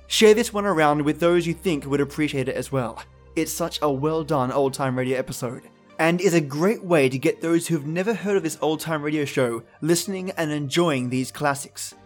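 A man hosting a podcast, giving an enthusiastic scripted introduction addressed straight to listeners. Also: on the high side. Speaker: podcast host. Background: faint music.

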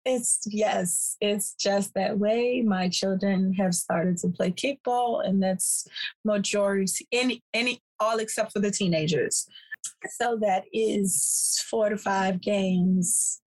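A woman co-hosting a casual podcast with a hoarse voice, talking in a lively, easygoing way and laughing often. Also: mid-range voice, slow pace, rough recording. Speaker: podcast co-host. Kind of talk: casual chat.

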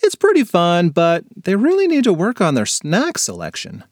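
A lazy, cheeky boy talks back with a whiny, lively lilt, as a male narrator plays him. The voice sits a touch high and is recorded dry. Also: normal speed, no room sound.

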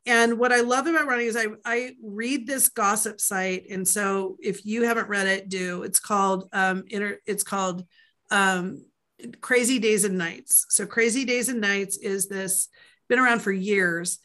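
A woman's voice: mid-range voice, fairly even intonation, unhurried, pushed and bright. A woman author chatting casually in a podcast interview, rambling with fillers and asides. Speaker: author guest.

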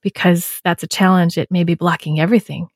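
A woman's voice: lowish voice, fairly even intonation, brisk, no echo, clean studio sound. A woman hosting a podcast, speaking in a reflective, thoughtful way. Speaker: podcast host. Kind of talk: conversational interview.

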